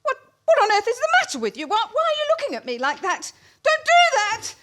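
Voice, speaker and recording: High, animated, pert young woman played by an actress. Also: fairly quick, exaggerated voice. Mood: panicked. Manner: theatrical, effusive, insistent. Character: pert, demanding young woman visitor, easily panicked. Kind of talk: stage farce radio play acting.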